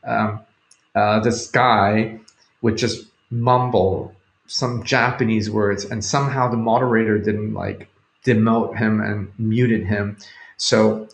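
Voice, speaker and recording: A man's voice: on the low side, fairly even intonation, slow pace, creaky. A man, a Cantonese speaker, chatting casually and hesitantly as he hosts a livestream, rambling and thinking aloud. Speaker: livestream host. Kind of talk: casual live chat with viewers. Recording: roomy, echoing home recording.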